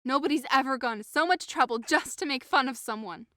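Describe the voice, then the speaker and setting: A woman's voice: sits high, fairly quick, sharp tone, no room sound, clean studio sound. A woman playing a hurt, indignant young woman in a modern-English Shakespeare audio drama, impassioned, accusing and sarcastic. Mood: bitter, sarcastic. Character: hurt, indignant young woman convinced she is being mocked.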